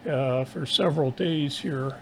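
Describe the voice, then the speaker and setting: Male radio hobbyist, worn-out creaky voice, slightly high, halting casual delivery. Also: fairly bright tone, slight background noise, rough recording. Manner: halting, pausing often mid-sentence. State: tired, strained voice after nearly losing it. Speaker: amateur radio operator. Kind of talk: casual ham radio conversation.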